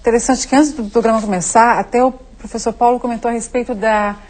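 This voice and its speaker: Female talk show host with a slightly high voice, prompts guests and sums them up. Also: fairly even intonation, quick pace, darkish tone. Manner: asks questions, sums up guests' answers. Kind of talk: TV studio interview.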